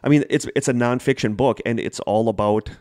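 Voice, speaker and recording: A man's voice: mid-range voice, steady tone, fairly quick, a little mellow, dry recording, clean studio sound. A middle-aged man co-hosting a podcast, telling stories casually in an enthusiastic, rambling way. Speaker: podcast co-host. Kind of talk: casual conversational storytelling.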